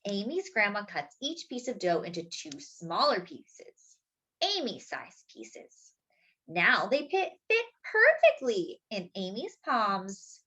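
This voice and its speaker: A woman reading a picture book aloud to young children as a storytime host, expressively, with drawn-out words and little asides to her listeners. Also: mid-range voice, wildly swooping pitch, sharp tone, breathy edge.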